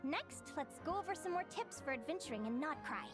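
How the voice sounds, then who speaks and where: A woman voicing a tiny floating female fairy companion who is excitable and talks about herself in the third person, in video game dialogue. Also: high voice, fairly quick. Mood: chirpy. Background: game music.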